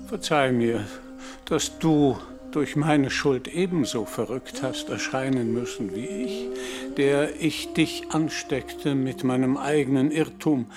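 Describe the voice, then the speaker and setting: An actor playing a dying old knight in a radio play, gentle and repentant, speaking in solemn, formal phrases. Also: male voice, medium pitch. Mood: tender, remorseful. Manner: solemn, measured, formal delivery. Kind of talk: literary radio play acting.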